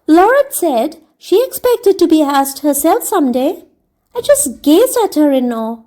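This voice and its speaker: A woman's voice: high voice, very animated, measured pace, warm tone, voice pushed up. A woman voicing an eager, dreamy, talkative young girl, gushing earnestly as a storybook character. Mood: eager, chatty. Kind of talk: audiobook character reading.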